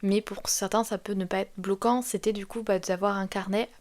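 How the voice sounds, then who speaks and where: Young French woman, book vlogger, chatty rambling delivery, mid-pitched everyday voice. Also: steady tone, fairly quick, darkish tone. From France. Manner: chatty, rambling with many fillers and restarts. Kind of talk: casual advice chat to camera.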